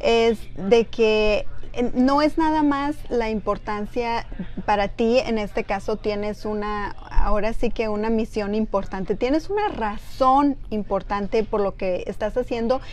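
A young woman hosting a Spanish-language news interview in a TV studio, lively and encouraging with her guest. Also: on the high side, unhurried. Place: small TV studio, seated on couch.

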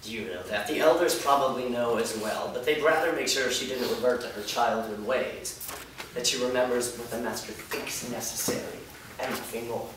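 A man's voice: medium pitch, fairly bright tone, close to an everyday voice. Man playing a prissy, menacing enforcer, echoey hall. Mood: condescending. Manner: haughty, insinuating, deliberate. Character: prissy, menacing male enforcer of a dark master. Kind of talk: staged reading of a fantasy drama. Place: indoor performance room before a small audience. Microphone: far camera. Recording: echoey hall, distant mic, noisy.

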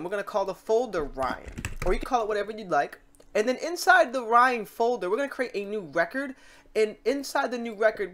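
A woman hosting an informal software tutorial, talking in a chatty, rambling way and often correcting herself. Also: medium pitch. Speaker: tutorial host.

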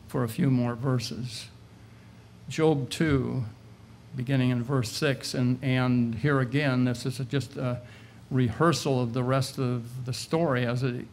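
Preacher, male, sixties. Gravelly and slow, pausing between short phrases, speaking at a lectern mic. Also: mid-range voice, a little hiss or hum. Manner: measured, halting delivery in short phrases with pauses. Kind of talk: sermon retelling a Bible story. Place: indoor meeting room, at a lectern. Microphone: lectern gooseneck microphone.

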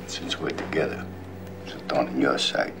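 A gravelly mob boss. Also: male voice, a bass voice, noisy background. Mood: menacing. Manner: slow, quietly threatening, deliberate. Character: menacing older male mob boss, cold and controlled. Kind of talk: film dialogue. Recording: film soundtrack with music underneath.

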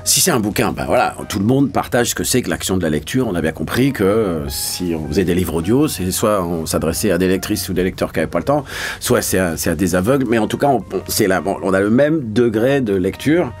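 A low-pitched man speaks casually as an interviewed voice actor. He is colloquial and often searches for the next word. Faint music plays underneath. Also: animated, a little fast. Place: small recording studio.

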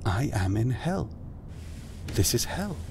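A despairing head of government talks wearily in a gravelly, mid-pitched voice. He mutters, harried, over faint noise, in an audio drama. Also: very animated, slow, a little mellow, exaggerated voice. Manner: weary, muttering, sweary. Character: harried, despairing male prime minister.

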